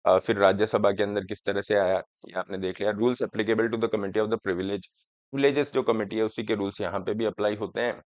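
A man in his thirties teaching an exam prep class, with a hoarse throat from a cold, slowly explaining each point and checking that students follow. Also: on the low side, steady tone, no room sound. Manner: explaining slowly, often asking students if it is clear. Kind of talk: lecture on current affairs, Hindi mixed with English. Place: studio classroom, in front of a screen. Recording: dry, slightly dull sound.

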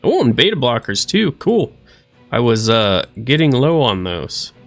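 A man's voice: medium pitch, very animated, unhurried, faint music behind. A man in his thirties casually narrating a survival game as he plays, talking to himself in a goofy, playful way. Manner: goofy, talks to himself, playful silly voices. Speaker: let's play streamer. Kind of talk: casual gameplay commentary.

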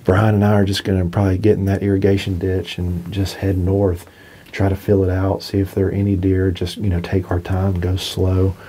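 A young man speaks low and even in a deep, mellow voice, talking his plan through plainly as a hunting host. He is recorded close on a small camera mic inside a cramped blind, with a faint hiss behind him. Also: normal speed, hardly any pauses. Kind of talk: casual on-camera hunting talk.

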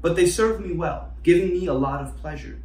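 A man's voice: on the high side, brisk, darkish tone, pitched above the performer's own voice, natural voice. A man voicing a meek but self-assured male crane in a storybook, mild and confident. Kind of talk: storybook read-aloud. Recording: roomy, distant mic, faint noise.

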